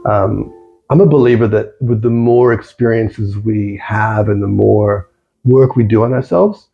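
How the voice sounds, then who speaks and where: Gravelly Australian man, muffled sound. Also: fairly low pitch, fairly even intonation, a little slow, soft background music. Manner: thoughtful, repeats words while searching for phrasing. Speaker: guest. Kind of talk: reflective casual conversation.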